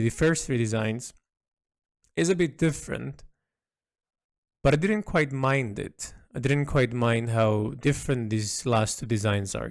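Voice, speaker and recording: A man giving a reflective spoken log as an artist, thinking aloud in halting, thoughtful phrases. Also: mid-range voice, no room sound, studio quality. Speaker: artist narrating a design log.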